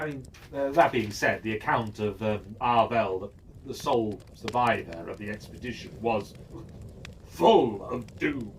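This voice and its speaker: A man telling a fantasy story in character, chatty and musing, often asking himself questions aloud. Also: a little high. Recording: distant mic with faint background noise.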